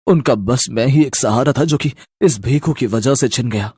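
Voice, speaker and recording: A man's voice: mid-range voice, normal speed, a little mellow, breathy edge, no echo, studio quality, lightly acted. A man voicing the vengeful, sorrowful ghost of a poor young man in a cartoon horror story, in a grim and resolute manner.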